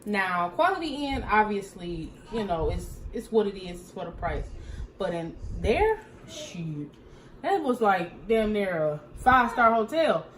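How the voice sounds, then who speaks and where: A woman chatting casually as a vlog co-host, informal, slangy and expressive in the way she talks. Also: medium pitch, measured pace, roomy sound, a little hiss or hum, rough recording. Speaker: vlog co-host, trucker's wife. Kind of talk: casual chat.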